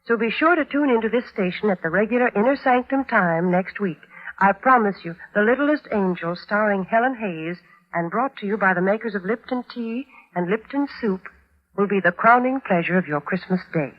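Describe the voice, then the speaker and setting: A woman's voice: medium pitch, animated, moderate pace. A woman announcer in 1940s radio advertising style, with a polished, earnest sales delivery, on an old broadcast recording. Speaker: sponsor's announcer and co-host. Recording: tinny, narrowband vintage radio recording.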